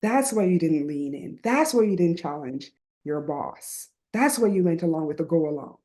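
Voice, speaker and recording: A woman's voice: sits low, lively intonation, normal speed. A middle-aged woman from Barbados with a Barbadian accent, speaking earnestly and reflectively as a podcast guest, often checking in with "right?". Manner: earnest and reflective, with frequent "right?" and soft laughs. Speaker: guest, writing program founder. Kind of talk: reflective conversational interview.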